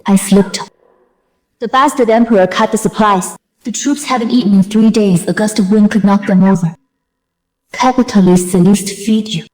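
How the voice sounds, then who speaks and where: A woman's voice: medium pitch, moderate pace, a little mellow, lightly acted, low-quality recording. A woman voicing a blunt, weary young female army general in an anime dub, bossy and sardonic. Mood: dry, grumbling. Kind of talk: dubbed motion-comic anime narration and dialogue.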